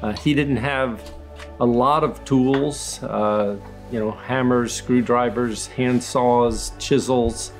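Older guy talking slow about his woodworking, lots of uhs, soft music behind. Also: medium pitch, steady tone. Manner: slow, reflective, many fillers and restarts. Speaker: retired engineer and woodworker. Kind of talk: documentary interview. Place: woodworking shop in a farm building.

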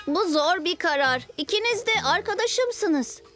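Woman as a very high, cocky boy cat hero. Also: animated, a little slow, fairly bright tone, soft background music, exaggerated voice. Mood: friendly. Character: cocky, bossy young boy cat superhero. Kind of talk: children's animated cartoon dub.

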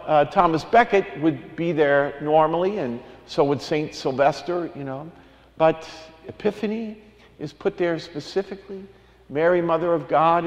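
High-voiced priest in a reverberant church. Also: male voice. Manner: sings a long cumulative list, then talks plainly and conversationally. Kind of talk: homily with a sung carol.

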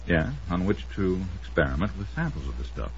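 Assured, deep, husky radio doctor. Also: male voice, sing-song, a little fast, exaggerated voice. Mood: grave, measured. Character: assured, methodical male doctor and forensic expert. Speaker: supporting actor. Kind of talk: old-time American radio drama acting. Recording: muffled old radio recording.

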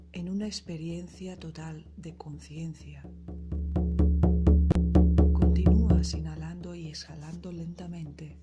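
A woman narrating a scripted guided meditation in a soothing, soft, breathy and unhurried manner. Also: fairly low pitch. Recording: loud percussive meditation music behind voice.

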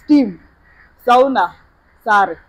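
A woman's voice: on the high side, expressive, very slow, no echo, lo-fi sound. A young woman with a Kenyan accent, a gym staff member, showing visitors around and pointing out equipment in a friendly, matter-of-fact way. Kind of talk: guided tour in mixed Swahili and English. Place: large indoor gym. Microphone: lapel mic.

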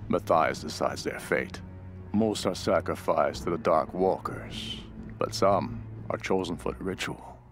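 A man's voice: low voice, creaky. An actor playing a ruthless, cynical cult henchman and survivor, narrating a video game journal in a grim, world-weary way. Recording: music behind the voice.

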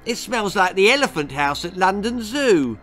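A man's voice: high voice, very animated, normal speed, bright, forceful tone, faint music behind, pitched above the performer's own voice, heavily acted. An older man voicing a stubborn, sulky young boy in a defiant, taunting way for a storybook. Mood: mocking.

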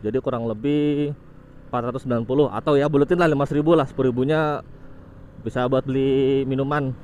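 A young man, giving casual motovlog commentary while riding, relaxed and informal, often saying "guys". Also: medium pitch, fast, a little hiss or hum. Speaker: motovlog host. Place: on a motorcycle on a city road outdoors.

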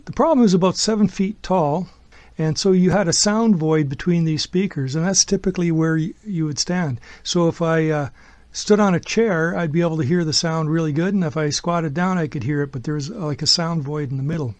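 A man's voice: fairly high pitch, brisk, darkish tone, dry recording. A man giving a casual, explanatory home demonstration in an informal, step-by-step and somewhat hesitant way. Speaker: DIY audio builder presenting a project.

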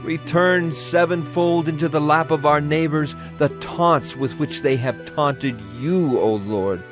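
A man's voice: fairly high pitch, a little slow. A man giving a solemn, declamatory dramatized reading of scripture as an audio Bible narrator. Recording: music under the voice. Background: music.

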